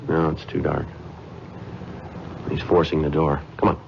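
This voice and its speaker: An actor playing a terse, businesslike police detective sergeant in a 1950s radio drama, with a clipped, deadpan, matter-of-fact delivery. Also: male voice, rumbling low, measured pace, gravelly, very noisy, heavily acted. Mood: low-key. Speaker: lead actor narrating as the detective. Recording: dull vintage radio recording, music behind.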